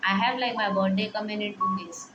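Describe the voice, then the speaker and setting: A woman's voice: medium pitch, steady tone, a little fast, dull audio. A woman in her twenties talking through personal worries in a rambling, hesitant vlog, heavy on fillers. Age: 20s. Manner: quietly upset, reflective. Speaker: vlogger. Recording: echoey room with music playing behind.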